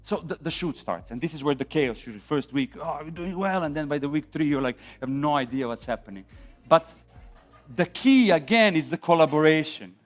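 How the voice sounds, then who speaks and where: A man's voice: a little high, brisk. A man in his thirties from Serbia with a Serbian accent, giving an informal, emphatic talk as a conference speaker. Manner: animated, emphatic, rhetorical questions. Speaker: conference speaker on film sound. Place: dim indoor conference room, on stage. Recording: dull lo-fi event recording with music.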